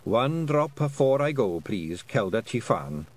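A man voicing a dying old fairy clan mother with a Scottish accent, speaking slowly and firmly in a raised voice. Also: big pitch swings, darkish tone. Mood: commanding, frail. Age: over 60. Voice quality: slow, raised-pitch, firm old-woman voice.

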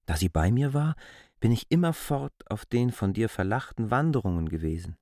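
Radio drama lead: an insistent, articulate reasoner, lowish pitch, very lively intonation, deliberate argument. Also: male voice, dry recording, clean studio sound. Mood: reasoning, self-searching. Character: K., coolly reasoning, insistent man lecturing a barmaid. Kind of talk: literary radio play acting.

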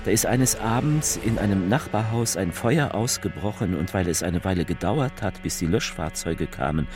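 An actor playing an evasive, self-justifying politician in a theatrical speech, speaking emphatically with deliberate pauses. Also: male voice, deep voice, expressive, music in the background. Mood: reminiscing. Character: male politician orating, evasive, self-justifying, folksy storyteller.

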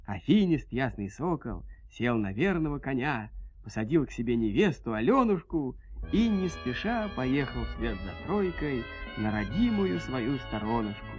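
A man telling a scripted fairy tale in a theatrical, expressive folk-tale manner, with a husky voice. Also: mid-range voice, unhurried, highs cut off, faint music behind. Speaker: storyteller, narrator. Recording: dry studio audio play.

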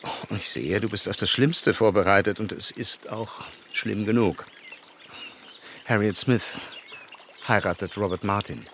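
An actor playing an earnest, tender English gentleman in love in a period radio play, gentle and measured. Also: fairly low pitch, slight background noise. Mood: concerned, gentle. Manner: gentle, measured, formally polite. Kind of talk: German radio play acting of period novel.

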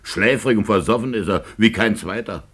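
An actor playing a middle-aged, grumbling, jealous small-town Russian police chief in a 1950s German radio play, gruff and officious with a husky voice. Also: male voice, on the low side, normal speed. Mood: suspicious. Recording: 1950s radio drama recording.

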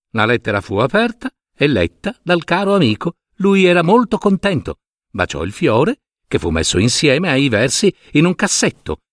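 A man's voice: medium pitch, a bit bright, dry recording, clean studio sound. A man narrating a fairy tale expressively, theatrical and with conversational asides. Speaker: storyteller.

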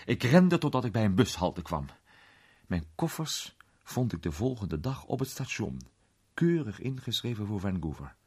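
A man plays an agitated storyteller with a low, husky voice, telling his own frightening adventure from a storybook. He is emphatic and indignant, his pitch moving freely as he goes. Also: sing-song, normal speed, a little mellow. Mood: excited recounting. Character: Varden, agitated man recounting his frightening escape as anecdote. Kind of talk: dramatized storybook reading.

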